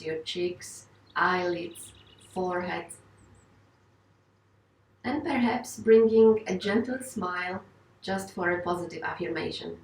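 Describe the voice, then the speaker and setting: A woman teaching yoga and guided meditation, speaking in a gentle, unhurried, reassuring way. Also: lowish voice, a little hiss or hum. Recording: roomy, distant microphone.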